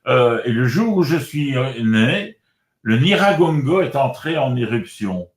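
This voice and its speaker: Man in his sixties, rambling, repeats himself, echoey room, mid pitch. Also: big pitch swings, a little slow. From Congo. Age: 60s. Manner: rambling, repeats words and restarts often. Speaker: author and interview guest. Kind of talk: casual spiritual conversation. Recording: roomy echo.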